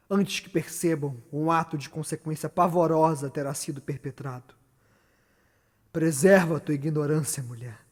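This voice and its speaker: Guy doing a brooding murderous king, stage drama, husky highish voice, intense and solemn. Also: lightly acted. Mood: fierce. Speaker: stage actor. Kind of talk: dramatic theatrical monologue of Shakespeare. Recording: long hall reverb under loud music.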